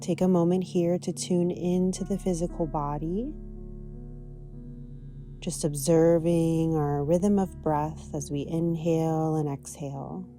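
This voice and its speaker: Low, mellow-voiced woman guiding yoga. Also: fairly even intonation, faint music behind. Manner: gentle, soothing, unhurried guidance. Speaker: yoga teacher. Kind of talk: guided yoga and meditation instruction.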